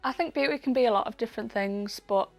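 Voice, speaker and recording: A woman's voice: on the high side, fast, creaky, soft background music. A 26-year-old woman in a documentary interview, speaking bluntly and casually, with some swearing.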